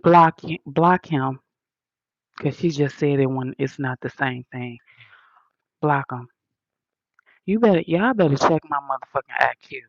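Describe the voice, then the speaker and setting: A woman's voice: very deep voice, measured pace, pauses often, no room sound, lo-fi sound. A woman with a Southern accent hosting a livestream, lecturing her chat in an indignant, emphatic way. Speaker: livestream host reading chat. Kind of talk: casual livestream rant.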